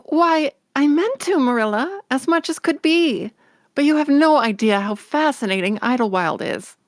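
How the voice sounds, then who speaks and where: A woman playing an excitable, dreamy orphan girl in a storybook read-along, breathless and gushing. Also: sits high, normal speed, no echo, studio quality. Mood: pleading. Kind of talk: acted audiobook read-along.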